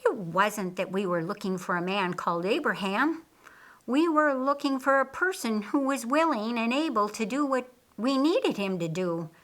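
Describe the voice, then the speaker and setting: A woman voicing a patient, wise male teacher in a storybook, explaining things in a measured way. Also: fairly high pitch, lively intonation, close to an everyday voice. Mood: patient teaching.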